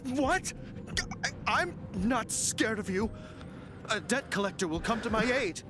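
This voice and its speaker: Cowardly anime-game guard: very high pitch, stammering and pleading, light music underneath. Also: male voice, animated, a little slow, sharp tone, full character voice. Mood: nervous defiance. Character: cowardly, panicky male enemy guard. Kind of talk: anime video game voice acting.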